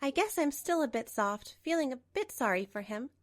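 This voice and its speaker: A woman playing a composed betrayed wife in a manga dub, narrating and speaking with measured, pointed delivery. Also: sits high, animated, no room sound, clean studio sound. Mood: reflective. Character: betrayed wife, composed, coolly resolute, narrating her story.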